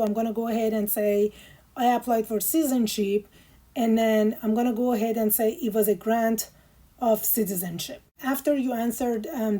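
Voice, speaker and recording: Mellow-voiced woman walking through a form. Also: on the high side. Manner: patient step-by-step instructions with frequent fillers. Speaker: immigration tutorial host. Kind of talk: screen walkthrough tutorial.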